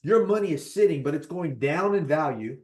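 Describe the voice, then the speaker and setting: A man of fifty talking casually about personal finance as an investor, animated, repeating points and checking in with "right?". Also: fairly high pitch, normal speed. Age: 50s. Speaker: real estate investor.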